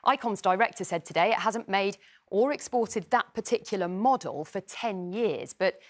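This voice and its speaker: A woman's voice: medium pitch, animated, moderate pace. A woman explaining findings to a presenter as a television news reporter.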